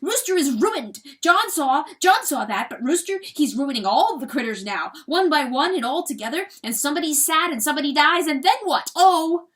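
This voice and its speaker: A woman's voice: sits high, big pitch swings, moderate pace, few pauses, a bit bright, voice pushed up. A woman voicing an agitated, stubborn male weasel in a storybook, blustering and repeating himself in broken grammar. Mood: exasperated outburst. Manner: blustering, repetitive, third-person broken speech.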